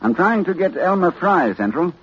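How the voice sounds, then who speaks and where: A man acting in a 1940s radio drama as a grave, anxious learned doctor, urgent and dramatic, with halting delivery. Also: high-pitched, sing-song, breathy edge, heavily acted. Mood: anxious, questioning. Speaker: narrator and lead actor. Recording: muffled, noisy old radio recording.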